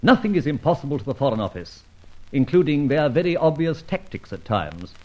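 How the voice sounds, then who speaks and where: Breezy rogue gentleman, old radio play, voice leaping all over, muffled recording. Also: on the high side, very animated, brisk. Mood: insistent bargaining. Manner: breezy, bantering, theatrical. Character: roguish, cocky gentleman adventurer, breezy and self-assured. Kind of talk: vintage radio drama acting.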